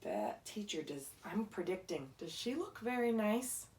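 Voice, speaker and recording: A woman's voice: medium pitch, sing-song, a little slow, a crowd in the background. A woman reading a children's picture book aloud like a teacher, explaining simply and putting questions to a young listener. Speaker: read-aloud storyteller, teacher. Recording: echoey room, distant microphone.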